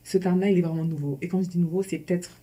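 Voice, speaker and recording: A woman's voice: lowish voice, steady tone, very fast, warm tone, husky. A woman in her thirties from Guinea, a podcast guest who speaks frankly. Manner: frank and candid. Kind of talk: casual podcast chat.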